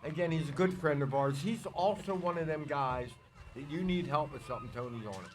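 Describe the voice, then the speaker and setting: A man's voice: a little high, fairly quick. A man hosting a casual, unscripted podcast, chatting and calling guests in to ask them questions. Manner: chatty, interviews guests, calls out to people. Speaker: podcast host. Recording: outdoor poolside podcast.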